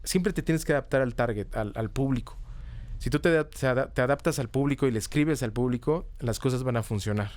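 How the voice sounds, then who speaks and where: A man's voice: medium pitch, brisk, a little mellow. A middle-aged man with a Mexican accent, explaining things casually in an interview and often ending phrases with a checking tag. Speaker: podcast guest, comedy teacher and writer. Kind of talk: casual conversational interview answers.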